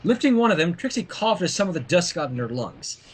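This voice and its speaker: A man reading a story aloud in an expressive narrating style, with casual asides. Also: sits high, brisk, slight background noise. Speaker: fan fiction reader.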